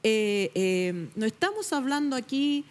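A woman's voice: fairly high pitch, sing-song, measured pace. Emphatic woman debating on a studio panel. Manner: emphatic, searching for words with many fillers. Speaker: journalist panelist. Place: television studio. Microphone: lapel mic.

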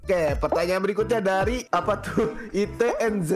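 A man's voice: high-pitched, moderate pace. A man in his late twenties, casually reading out viewer questions as co-host. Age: 20s. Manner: relaxed, reads viewer questions. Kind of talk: casual chat. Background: game music.

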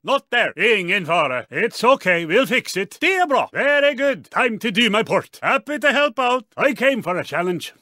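A man voicing a cheerful, cocky Swedish rally car in a video game, with a Swedish accent and a playful, exclamatory, drawn-out way of talking. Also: high-pitched, a bit bright, no echo, clean studio sound, exaggerated voice. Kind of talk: animated video game character voice clips.

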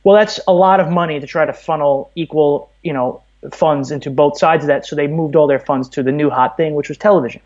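A man's voice: on the high side, brisk, hardly any pauses, a little mellow, dull audio. A man from Brooklyn, telling stories conversationally as a podcast guest. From Brooklyn, New York, USA. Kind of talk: conversational interview, storytelling.

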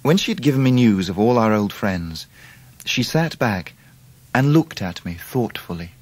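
A man's voice: on the low side, lively intonation, normal speed, darkish tone, no echo. An actor playing a reflective, melancholy grown man, narrating his own life in a radio drama. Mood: calm. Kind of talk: dramatised first-person narration.

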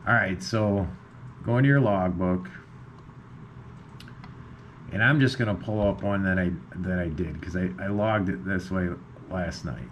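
A man giving a casual screen tutorial, informal and a bit hesitant as he thinks aloud. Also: sits low. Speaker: amateur radio video host. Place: indoors at a computer. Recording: clearly noisy room recording.

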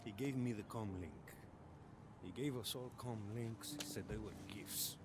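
A man's voice: mid-range voice, normal speed, noisy background, lightly acted. An actor playing a defeated male rebel in a game cutscene, earnest, apologetic and pleading. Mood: guilty, defeated. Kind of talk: video game cutscene acting.

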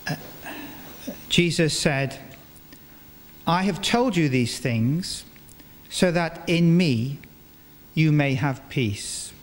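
A man's voice: on the high side, lively intonation, extremely slow, pauses often. An older Englishman leading a church service, moving between informal, hesitant directions and formal liturgy. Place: inside a parish church. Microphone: microphone on a stand. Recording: echoey church, long reverb.

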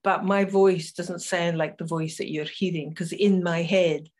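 Scottish woman telling a story, low-pitched. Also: steady tone, a little mellow. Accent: Northeast Scottish, Doric. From northeast Scotland. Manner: storytelling, reflective, unhurried with pauses. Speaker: conference speaker, storyteller. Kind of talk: personal talk to camera. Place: home room with curtains, indoors.

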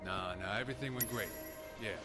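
Man voicing a smooth-talking flirt, flattering, smug, game music behind. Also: on the low side, brisk, natural voice. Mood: casual. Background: game score music.

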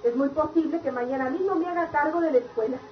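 A woman's voice: high-pitched, fast, natural voice. An actress with a Mexican accent playing a grateful, devoted village mother and schoolteacher in an old radio soap. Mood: tender, hopeful. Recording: muffled, noisy old radio recording.

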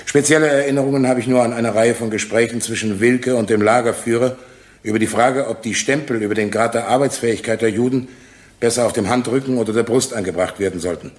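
An actor playing a sober, matter-of-fact former telephonist testifying in a radio drama, measured and firm. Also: male voice, mid-range voice, natural voice. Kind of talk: German radio drama acting.

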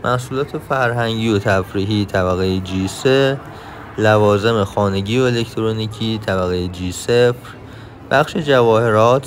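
A man with an Iranian accent giving informational travel vlog narration as a guide, recorded with some background noise. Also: deep voice. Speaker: vlogger and tour guide.

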